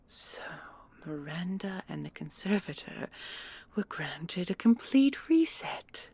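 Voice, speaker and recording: A woman's voice: lowish voice, slightly rough, full character voice. A woman playing a proud, sardonic commandant and inventor in a sci-fi audio drama, with a dry, haughty manner. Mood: quiet, calculating. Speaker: voice actor and creator. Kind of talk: sci-fi audio roleplay acting.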